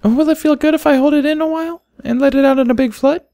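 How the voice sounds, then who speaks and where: Storybook pony foal, little girl, played by a man: high-pitched, innocent, curious, earnest. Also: steady tone, fast, vocal fry, warm tone, no echo, clean studio sound. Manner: childlike, earnest.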